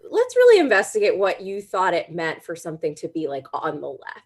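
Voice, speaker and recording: A woman's voice: lowish voice, a little fast. A woman hosting a casual comedy livestream chat, exclaiming and making fun of herself as she goes. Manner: animated, exclaiming, self-mocking. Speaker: host of a cooking-show discussion stream.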